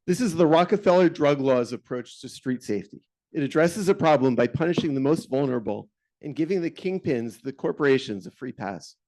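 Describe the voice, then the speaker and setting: A man with a gravelly, fairly high voice argues his case at a community board meeting. He reads his comment in a pointed, argumentative way. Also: lively intonation, brisk, darkish tone. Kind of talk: prepared public comment. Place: meeting hall.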